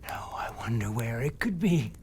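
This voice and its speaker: An actor playing a wounded, defiant captive who mocks his captors slowly between sighs and laughs in a game drama. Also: mid-range voice, big pitch swings, darkish tone, a little hiss or hum, natural voice. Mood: taunting. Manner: slow, taunting, breaking into sighs and wheezy laughs. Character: wounded captive henchman, mocking, defiant, resigned to death. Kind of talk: dramatic video game acting.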